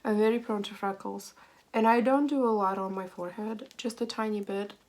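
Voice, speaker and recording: A woman in her thirties, hoarse and a little raspy from a cold, chatting casually and self-deprecatingly as she walks through her makeup routine at home. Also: medium pitch, fairly even intonation, brisk, darkish tone. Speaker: beauty vlogger. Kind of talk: casual get-ready-with-me chat.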